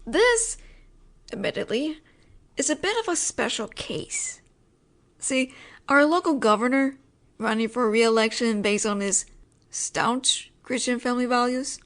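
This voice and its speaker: A woman's voice: fairly high pitch, animated, measured pace, pauses often, a bit bright. A woman playing an exasperated but apologetic mafia boss in a roleplay audio drama, with a wry, sighing, slangy way of talking. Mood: wry, explaining.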